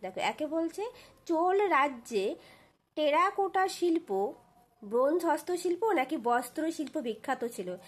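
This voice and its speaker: A woman's voice: high voice, sing-song, measured pace. A woman teaching, reading out exam questions and answers in an instructional way with frequent checking questions. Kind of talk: exam question walkthrough for school pupils.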